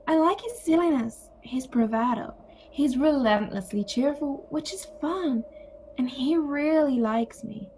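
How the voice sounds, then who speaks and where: A woman acting a calm, wry older sister in an audio play. Her voice sits high and has a composed, bookish manner, with clear background noise and ambience around it. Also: measured pace, poor audio. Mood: content, wry. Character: calm wry older sister Bea, former literature teacher.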